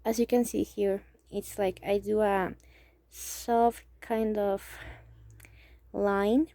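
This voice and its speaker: Soft slow Mexican woman, gravelly. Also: mid-range voice, little pitch movement, no echo. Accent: Mexican Spanish. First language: Spanish. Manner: hesitant, halting, thinking aloud; soft-spoken, drops to near whisper. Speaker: amateur artist. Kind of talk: casual drawing tutorial.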